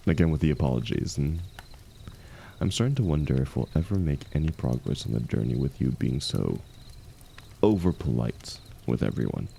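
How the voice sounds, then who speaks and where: A man playing a seasoned ex-mercenary warrior in an intimate fantasy audio drama, gruff but kindly, gently teasing and unhurried in his talk. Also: very deep voice, animated, slight background noise, heavily acted. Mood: wry, reassuring.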